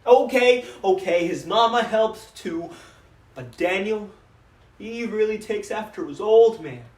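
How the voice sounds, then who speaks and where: A man performing a dramatic monologue as a proud, grieving middle-aged father, emotive and full of pauses. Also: sits high, animated, darkish tone. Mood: boastful, joking. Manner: theatrical, emotive, with dramatic pauses and repeated phrases. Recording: echoey room, distant microphone.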